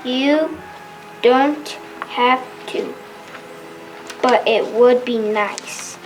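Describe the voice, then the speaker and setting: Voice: medium pitch, extremely slow, poor audio. An amateur performer with a youthful female voice playing a helpful storybook dragon in reader's theater, reading carefully. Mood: matter-of-fact. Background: faint music.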